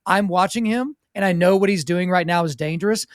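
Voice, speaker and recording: A man's voice: high-pitched, no echo, studio quality. A man in his thirties hosting a podcast interview, rambling through his thoughts in a casual, chatty way. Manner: rapid, rambling, talks through thoughts aloud. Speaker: podcast host.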